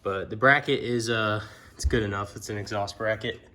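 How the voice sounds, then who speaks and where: A man's voice: on the low side, normal speed. A man giving a casual hands-on product walkthrough in a plain-spoken, somewhat hesitant way. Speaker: amateur motorcycle reviewer. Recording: clear steady background noise.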